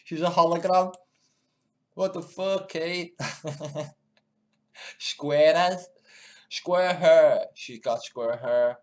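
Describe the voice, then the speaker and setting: A man's voice: on the high side, extremely slow, pauses often, darkish tone. A male stream host giving live, mocking commentary, laughing, swearing and muttering asides as he goes. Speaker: channel host.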